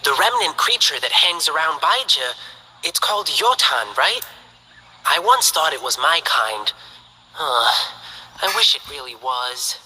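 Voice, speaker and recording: A woman's voice: low voice, very animated, measured pace, pushed and bright, big-room sound, slight background noise, full character voice, rough recording. An actress playing a cheerful, playful young female lion dancer in a game, upbeat and chatty.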